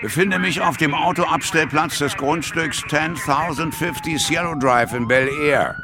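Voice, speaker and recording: An actor playing a matter-of-fact police sergeant giving a clipped crime scene report in a German radio play. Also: male voice, on the high side, wildly swooping pitch, measured pace, background noise. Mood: sober, official.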